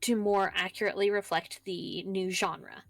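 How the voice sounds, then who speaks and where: A woman co-streaming, talking casually in a halting way with many ums and pauses. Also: mid-range voice, fairly even intonation, gravelly, no echo, soft background music. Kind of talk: casual chat on a writing stream.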